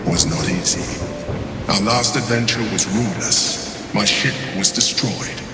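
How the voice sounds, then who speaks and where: An actor playing a proud, calculating mind flayer in a video game, speaking in a calm, measured, deliberate way. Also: male voice, lowish voice. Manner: measured, deliberate, self-justifying. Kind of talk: dramatic game monologue. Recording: roomy long reverb, music behind.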